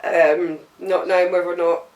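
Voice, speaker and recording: A woman's voice: fairly low pitch, little pitch movement, moderate pace, darkish tone. A woman in her forties giving a plain, matter-of-fact personal account in an interview, in clipped phrases. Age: 40s. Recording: roomy echo, distant mic, faint noise.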